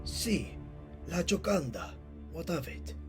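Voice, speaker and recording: A man's voice: on the high side, very animated, slow pace. A man playing a frail, dying old Italian master painter in an audio drama, weary, wry and theatrical. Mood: wry, delighted. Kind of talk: scripted audio drama dialogue. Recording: music behind the voice.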